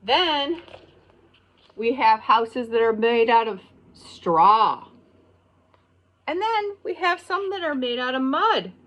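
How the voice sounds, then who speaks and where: A middle-aged woman with an American accent, a pre-kindergarten teacher reading a picture book aloud and explaining it simply, pausing on key words. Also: high voice, sing-song, a bit bright, muffled recording.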